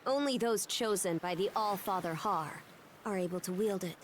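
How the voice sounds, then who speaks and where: A woman's voice: mid-range voice, normal speed, a little hiss or hum, natural voice. An actress voicing a brash, proud young pirate woman in a video game, with a blunt, taunting and confident delivery. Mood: proud, explaining. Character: brash young Norman pirate woman, sharp-tongued, proud, fierce fighter. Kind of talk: English video game voice acting.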